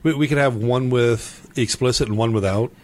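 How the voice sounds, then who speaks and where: A man's voice: medium pitch, normal speed. A man banters on a podcast, teasing and joking. His voice sits in an ordinary middle range. His talk is loose and casual, with the odd curse. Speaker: podcast co-host. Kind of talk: casual chat.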